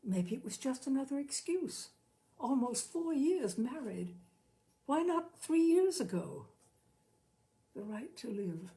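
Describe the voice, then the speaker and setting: A woman narrating literary fiction expressively, with dramatic, deliberate phrasing and lingering pauses. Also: high voice, very animated, warm tone. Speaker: narrator, story reader.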